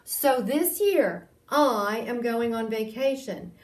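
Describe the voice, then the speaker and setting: A woman's voice: fairly high pitch, lively intonation, slow pace, pitched above the performer's own voice. A woman voicing a proud, hurt male groundhog who declares his grievances emphatically in a storybook reading. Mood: indignant, hurt. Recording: roomy echo, distant microphone.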